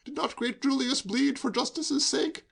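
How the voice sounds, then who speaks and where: A man's voice: very high voice, normal speed, creaky, a bit bright, pitched above the performer's own voice, heavily acted. A man voicing a drunk, jubilant young man who declaims Shakespeare with exuberant theatrical flourish. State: tipsy.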